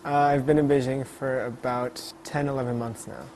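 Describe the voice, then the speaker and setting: A young man in his mid-twenties from Queens talks through an interview in a flat, even voice. He is measured and pauses with "uh" as he searches for words. A noisy background sits under him. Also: medium pitch. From Queens, New York. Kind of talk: casual interview talk.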